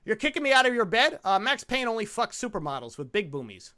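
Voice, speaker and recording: A man's voice: high-pitched, big pitch swings, quick pace, sharp tone, no echo, studio quality. A man hosting a podcast, reviewing a film in a casual, comedic, crude and opinionated way. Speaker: podcast host.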